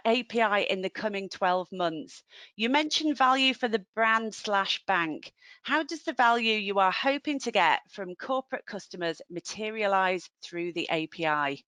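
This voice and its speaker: A woman's voice: fairly high pitch, fairly bright tone, dry recording. A woman with a Yorkshire accent hosts a webinar over a video call, friendly and upbeat as she moves the session along. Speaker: webinar host. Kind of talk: hosting a webinar.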